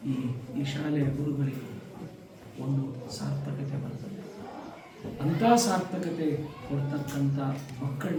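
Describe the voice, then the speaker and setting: A man with a North Karnataka Kannada accent gives an emphatic motivational speech to students in short, deliberate phrases. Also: a little high. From Karnataka, India. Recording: echoey hall, distant microphone, audience noise.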